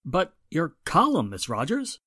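Man acting a haughty gentleman with swooping pitch. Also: huge pitch swings, a little slow, heavily acted. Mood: indignant. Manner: formal, pointed, theatrical. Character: haughty, well-spoken gentleman, suspicious nephew of wealthy victim. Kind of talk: 1940s radio drama acting.

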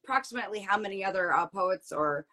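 A woman's voice: medium pitch, lively intonation, quick pace, sharp tone. A woman co-hosting a casual chat, talkative and emphatic, often repeating her phrases. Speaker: podcast co-host.

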